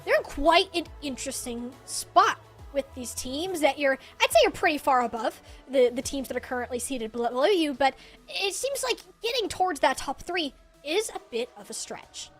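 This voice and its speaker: High-voiced woman hosting an esports desk. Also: animated, normal speed, soft background music. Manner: chatty and casual. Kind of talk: live esports broadcast hosting and interviewing.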